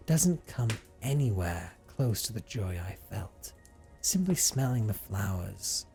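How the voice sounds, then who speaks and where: A man voicing a centuries-old vampire captor in an intimate audio roleplay, speaking with hushed, theatrical condescension and often dropping toward a whisper. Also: lowish voice. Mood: wistful. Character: centuries-old male vampire captor, teasing, dominant, wistful.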